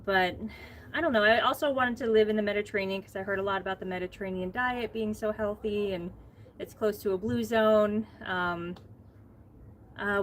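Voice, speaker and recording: An American woman co-hosting a casual livestream, talking in a relaxed, thoughtful way. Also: mid-range voice, slight background noise. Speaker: co-host. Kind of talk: casual live chat.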